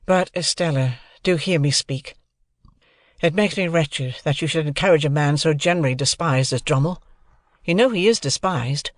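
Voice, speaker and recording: A woman voicing an earnest, wretched young gentleman in love in an audiobook, pleading and insistent. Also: low-pitched, moderate pace, no room sound, clean studio sound, close to an everyday voice.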